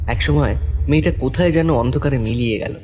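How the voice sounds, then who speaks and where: A man's voice: medium pitch, fast talker, a little mellow, a little hiss or hum. Man narrating with mid-range voice. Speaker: first-person story narrator. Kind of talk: scripted horror story narration.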